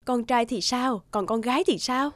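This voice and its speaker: A woman's voice: fairly high pitch, moderate pace, dry recording, close to an everyday voice. An actress playing an earnest, protective expectant mother in a cartoon, pleading as she speaks. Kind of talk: cartoon dubbing.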